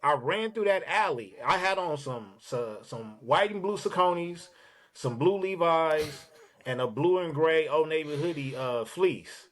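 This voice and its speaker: Man, podcast guest, slightly high voice, animated profane storytelling, casual. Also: measured pace. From Los Angeles. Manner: animated, streetwise, profane anecdote-telling.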